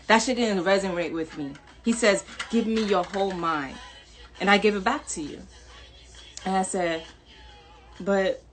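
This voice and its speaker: A woman's voice: mid-range voice, a bit bright. A woman from New York with a Jamaican-American accent, talking candidly and emphatically to viewers, repeating herself and laughing between points. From New York, Jamaican family. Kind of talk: candid personal video monologue.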